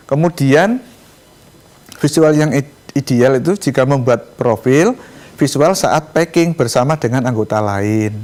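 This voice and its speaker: A man with a Javanese accent walks colleagues through a presentation on a video call. His voice sits at an ordinary middle pitch, and he explains things step by step. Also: darkish tone. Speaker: presenter of a training session. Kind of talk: online meeting presentation.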